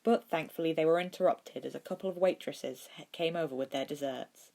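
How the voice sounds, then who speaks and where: A woman's voice: deep voice, brisk, creaky, warm tone. A woman narrating fan fiction as a podfic reader.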